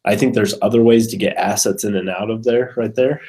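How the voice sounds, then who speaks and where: A man's voice: on the low side, fairly even intonation, a little fast, darkish tone. A man casually walking through code on screen like a programming student, thinking aloud in a hesitant, unsure way.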